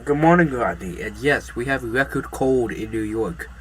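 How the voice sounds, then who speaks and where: A man plays a television weather forecaster in an audio drama. His voice is mid-pitched and formal, with measured delivery over faint background noise. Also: lively intonation, close to an everyday voice, poor audio. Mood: matter-of-fact. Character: male TV weather forecaster reporting record cold. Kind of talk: weather report, amateur drama.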